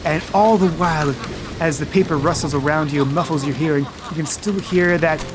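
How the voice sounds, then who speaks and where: A game master narrating a tabletop game, describing eerie dream scenes in a calm, measured way. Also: mid-range voice, over music, rough recording.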